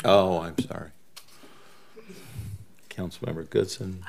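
A man's voice: low-pitched, steady tone, slow, gravelly. A man chairing a formal council meeting from the dais, over a noisy chamber microphone.

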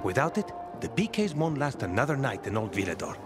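An actor playing a loyal, protective grown son in a video game. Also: male voice, medium pitch, sing-song, brisk, over music, natural voice. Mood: earnest. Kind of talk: video game character acting.

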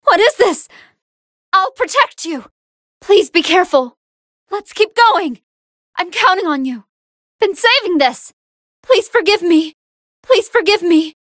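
A woman's voice: extremely high pitch, expressive, measured pace, long pauses, fairly bright tone, no echo, studio quality, full character voice. A woman playing a good-hearted female android fighter in an anime fighting game, earnest and polite, with emphatic battle lines. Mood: earnest, alert.

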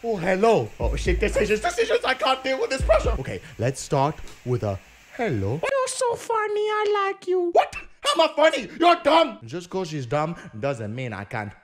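A man's voice: high-pitched, huge pitch swings, normal speed. A young man reading dating-app chats aloud in comedic commentary, jokey and mock-dramatic, acting both sides of the chat. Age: young adult. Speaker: dating-app video creator.

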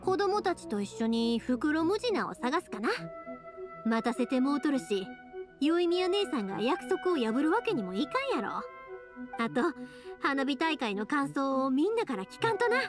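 A woman's voice: high-pitched, sing-song, normal speed, music in the background. A woman voicing a cheerful, sincere young fireworks maker in an anime-style game, with a Kansai accent and a friendly, earnest, colloquial manner.

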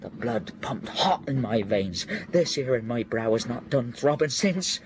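A man's voice: mid-range voice, animated, unhurried, background noise, lightly acted. Man acting a feverish, haunted deserter, wildly swinging. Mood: defensive confession. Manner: feverish, swinging from whispers to cries. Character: tormented, raving deserter soldier haunted by his crime. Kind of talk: radio drama acting.

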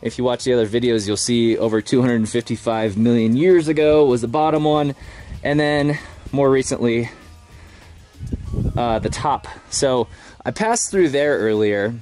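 A man's voice: medium pitch, normal speed, a little mellow, soft background music. A man in his thirties chatting casually on a road-trip vlog, upbeat and thinking aloud with lots of fillers, filmed on a camera held at arm's length in a van and outdoors. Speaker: vlogger. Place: inside a van and outdoors at a roadside overlook. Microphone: handheld camera at arm's length.

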